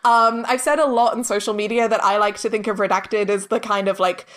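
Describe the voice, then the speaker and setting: A woman's voice: medium pitch, fairly even intonation, a little fast. A talkative, informal woman speaking emphatically as a guest in a casual podcast interview. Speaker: interviewed audio drama director and producer.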